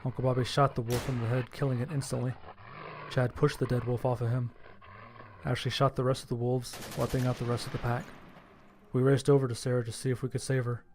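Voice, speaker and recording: A man reading scripted first-person horror fiction as its narrator, with a gravelly voice. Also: mid-range voice, flat delivery, brisk, background noise.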